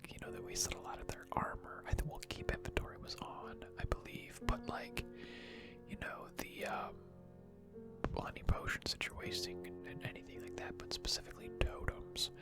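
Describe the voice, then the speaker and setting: Casting: hushed ASMR game streamer, whispered and unhurried casual commentary, loud music underneath.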